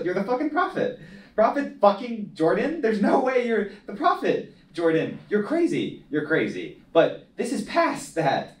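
A young man reading the part of a frustrated, sweary job seeker at a film table read, exasperated and stammering. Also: on the high side. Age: young adult. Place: small meeting room, indoors. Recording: roomy, distant mic, faint noise.

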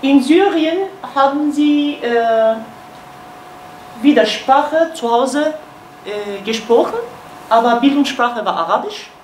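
A woman's voice: high voice, expressive. Kurdish-accented woman lecturing in an echoey room. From Turkey. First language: Kurmanji Kurdish. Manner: halting, many fillers, gestures while explaining. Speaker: guest lecturer. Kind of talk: university seminar lecture. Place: university seminar room, indoors. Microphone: far camera. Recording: echoey classroom, faint noise.

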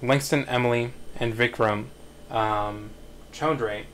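A man's voice: medium pitch, fairly even intonation, takes it slowly, a bit bright, slight background noise. A man reading stage directions as scripted narration at a screenplay table read.

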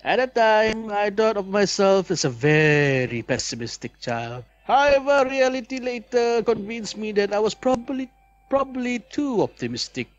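A man's voice: high-pitched, sing-song, moderate pace, no room sound, dull audio. A young man reads a visual novel aloud as a let's play host, with dramatic expression and asides.

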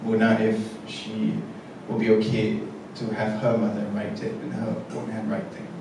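A man's voice: on the low side, completely flat delivery, normal speed. A man, a theatre director speaking hesitantly and reflectively on a panel after a show, with many fillers and restarts. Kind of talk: post-show panel discussion. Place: large theatre stage. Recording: echoey theatre from a distance with noise.

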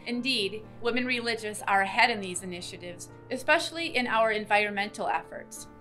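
Bright-voiced American woman, scripted announcement. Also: mid-range voice, a little fast. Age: middle-aged. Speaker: congregation social justice coordinator. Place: bright indoor room with large windows. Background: background music.

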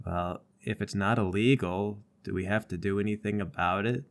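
A man playing a glum, passive police officer, muttering in a low-key, resigned way in a comedy tabletop game. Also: steady tone, moderate pace, natural voice. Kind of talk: improvised comedy tabletop roleplay.

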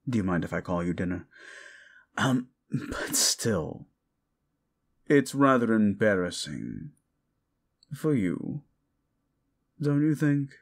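A man playing a condescending, sardonic ancient demon in an audio roleplay, gravelly, with theatrical, mocking delivery. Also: on the low side, heavily acted. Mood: mocking, scornful. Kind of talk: audio roleplay monologue addressed to the listener.

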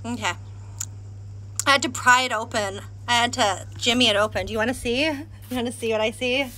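A woman's voice: a little high, fairly even intonation, a little fast, noisy background. A woman live-streaming casual chat while she cooks and climbs stairs, a little out of breath, asking viewers questions. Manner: chatty, self-deprecating, asks viewers questions. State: panting from heat and stairs. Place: house and outdoor stairs and terrace.

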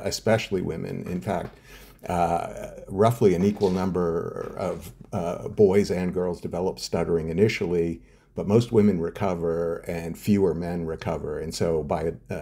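A man's voice: sits low, vocal fry, mellow, breathy edge. A middle-aged man answering interview questions as a scientist guest, explaining step by step with many hesitant fillers and restarts. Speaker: neuroscientist guest.

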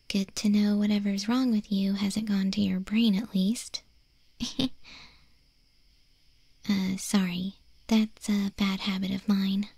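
A woman playing a friendly, curious young wolf girl in an ASMR roleplay, speaking softly and gently in a breathy, hesitant near-whisper. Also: medium pitch, dry recording. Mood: sheepish, playful. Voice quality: soft, breathy, mostly whispered.

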